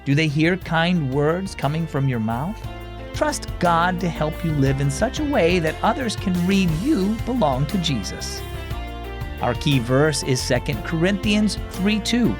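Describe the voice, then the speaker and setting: A man speaking as host and narrator of a children's devotional program, reading scripted material in an animated, expressive way. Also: a little high, measured pace, over music.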